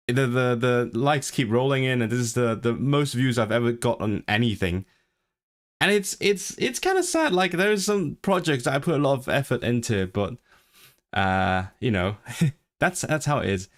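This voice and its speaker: Rambling man chatting on a livestream. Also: medium pitch. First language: Cantonese. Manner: rambling, self-deprecating, many restarts and fillers. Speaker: language-learning streamer. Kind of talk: casual live-stream chat.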